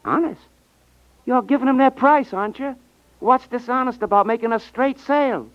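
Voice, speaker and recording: A man's voice: extremely high pitch, moderate pace, dry recording. A man acting in a 1950s radio drama as a brash, pushy, slangy salesman feigning honesty. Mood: brisk persuasive. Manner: slangy, insistent. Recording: muffled 1950s radio recording.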